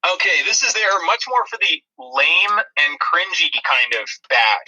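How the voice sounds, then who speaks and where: Guy doing movie review podcast chat, really bright forceful voice, kinda dry and disparaging. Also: on the high side, very animated, unhurried, breathy edge, poor audio. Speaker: podcast co-host.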